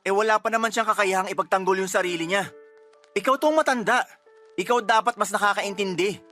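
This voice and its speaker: A man's voice: sits high, fairly quick, bright, forceful tone, soft background music. An actor playing a devoted young husband and protective father in a radio drama. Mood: indignant.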